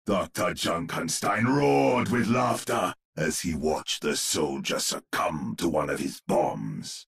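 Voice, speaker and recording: A man playing a grim, gravelly storyteller narrating a gothic horror tale in an ominous, theatrical manner for a game. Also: low-pitched, lively intonation, fairly bright tone, exaggerated voice. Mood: dramatic. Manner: ominous, dramatic, deliberate storyteller.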